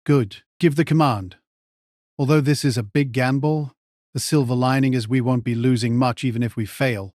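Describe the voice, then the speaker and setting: A composed, calculating mage played by a man in an audio drama. He gives orders with measured authority in a medium-pitched voice, recorded close and dry. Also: fairly even intonation, a little mellow, no echo, lightly acted. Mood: calm command.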